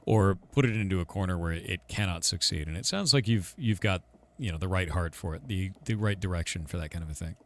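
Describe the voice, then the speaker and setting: Man, interview host, very low voice, direct and conversational. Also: fairly quick, a bit bright. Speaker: streamer host. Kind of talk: casual interview talk.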